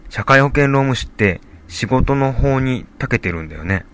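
A man playing a calm, supportive young father and husband in a radio drama, speaking in a low-key, casual way. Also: moderate pace, close to an everyday voice. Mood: thoughtful. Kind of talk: amateur radio drama dialogue.

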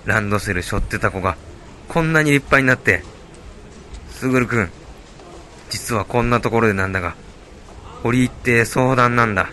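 A man playing an older hospital patient in an amateur radio drama, speaking gently and earnestly to a younger acquaintance. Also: mid-range voice, normal speed, pauses often, a little hiss or hum, lightly acted, poor audio. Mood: warm, earnest.

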